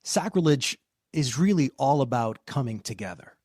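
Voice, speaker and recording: A man hosting a podcast, asking measured questions and closing the show. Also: mid-range voice, lively intonation, warm tone, dry recording, studio quality. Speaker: podcast host. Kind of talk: podcast interview and outro.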